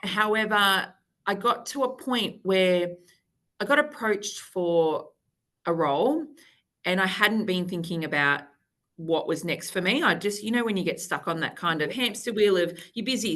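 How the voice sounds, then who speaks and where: A woman's voice: lowish voice, fairly bright tone. A woman guest in a podcast interview, chatty and upbeat, laughing as she talks. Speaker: guest, company executive. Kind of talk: casual interview chat.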